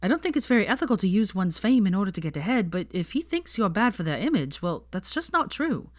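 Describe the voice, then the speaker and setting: A woman's voice: medium pitch, very animated, quick pace, no room sound, studio quality, natural voice. A woman voicing an earnest, proud, prickly young woman in an audiobook story, intense and emphatic. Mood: stern, indignant. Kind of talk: fan fiction audiobook character voice.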